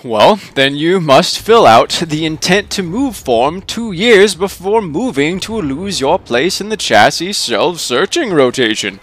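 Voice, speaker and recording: Bureaucratic AI clerk read by a man, slightly high voice, formal and unhelpful, dryly sardonic, for a sci-fi short story. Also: expressive, unhurried, few pauses, fairly bright tone. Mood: matter-of-fact. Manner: formal, bureaucratic, matter-of-fact. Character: unhelpful officious male AI clerk, coolly sardonic.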